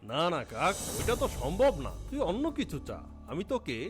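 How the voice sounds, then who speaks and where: A man voicing a patient but fussy cartoon eagle healer with a husky, familiar, emphatic delivery. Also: fairly high pitch, wildly swooping pitch, moderate pace, over music. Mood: firm, pleading. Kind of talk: animated cartoon character acting.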